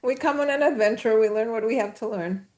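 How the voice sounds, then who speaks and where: A woman's voice: fairly high pitch, a little fast, darkish tone. A woman from Chile, a podcast guest, chatting casually and telling personal stories with laughs mixed in. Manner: chatty, storytelling, laughs mid-sentence. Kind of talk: casual conversation.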